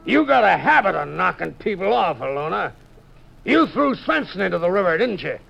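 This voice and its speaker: An actor playing a surly, suspicious crooked ship's captain in a 1950s radio drama, gravelly, with blustering tough-guy slang. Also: high voice, very animated, normal speed, a bit bright, heavily acted. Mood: accusing, angry. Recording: dull, hissy 1950s radio recording.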